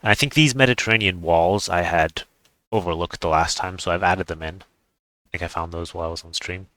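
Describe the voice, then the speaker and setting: Deep-voiced man, casual game commentary. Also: fairly quick, sharp tone, no echo, low-quality recording. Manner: offhand, rambling, thinking aloud. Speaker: gaming video creator.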